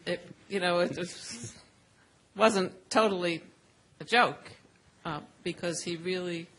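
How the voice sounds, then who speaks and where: A woman's voice: fairly low pitch, slow, fairly bright tone. A woman on a discussion panel, speaking reflectively and personally with some fillers.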